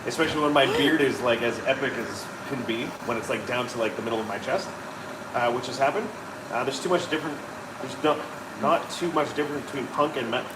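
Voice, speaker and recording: A man's voice: medium pitch, quick pace, roomy sound, recorded from a distance, background noise. A man in his thirties chatting casually and playfully on a live stream, reading viewer comments aloud. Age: 30s. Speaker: co-host reading live chat.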